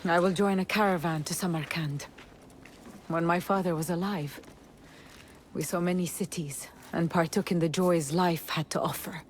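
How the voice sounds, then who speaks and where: An actress playing a grieving, resolute young woman in a video game drama, with a solemn, measured delivery. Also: on the low side, fairly even intonation, slight background noise, lightly acted. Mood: sorrowful, resolute.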